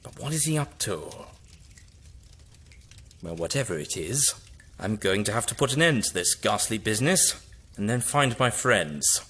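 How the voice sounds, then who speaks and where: Man acting animated, eccentric sci-fi hero. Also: lowish voice, moderate pace, long pauses, breathy edge. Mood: puzzled, determined. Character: eccentric, resolute male time-travelling hero, or his villainous double. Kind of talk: audio drama acting.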